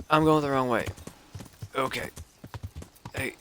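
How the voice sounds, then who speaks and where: A man's voice: medium pitch, fairly bright tone, a little hiss or hum. A man streaming a video game with a stuffy nose from a cold, rambling and thinking aloud. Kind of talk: casual gameplay commentary.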